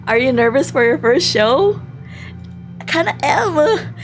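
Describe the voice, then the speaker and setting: A woman live streaming a game in a casual, excitable, giggly way, reading text aloud and reacting expressively as she goes. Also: sits high, drawn-out words, soft background music. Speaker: livestream host.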